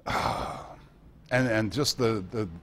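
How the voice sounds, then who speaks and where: Low-voiced man giving an informal talk. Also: steady tone, takes it slowly, a bit bright. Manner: rambling, conversational storytelling with wry asides. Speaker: guest speaker giving a history talk. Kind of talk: informal lecture with anecdotes. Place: club meeting room.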